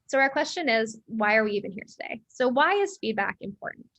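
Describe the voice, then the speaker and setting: A woman's voice: fairly high pitch, lively intonation, brisk, a bit bright, no echo. An American woman hosting an online webinar as an education company head, upbeat and chatty with frequent fillers, on a video call. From United States.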